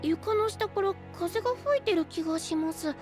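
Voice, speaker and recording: A man voicing a polite, timid young male knight in an anime voice drama, speaking in a soft, earnest way. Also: extremely high pitch, moderate pace, music in the background.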